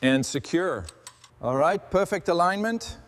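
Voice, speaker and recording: Male narrator, slightly high voice, scripted workshop commentary, long echoing reverb. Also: expressive, unhurried. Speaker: host narrating a motorcycle restoration.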